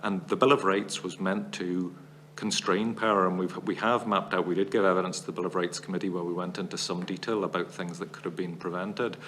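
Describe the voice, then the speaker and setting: A man giving formal testimony as a witness before a parliamentary committee, laying out long arguments in a measured, analytical way. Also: low-pitched, fairly even intonation, fairly bright tone, a little hiss or hum.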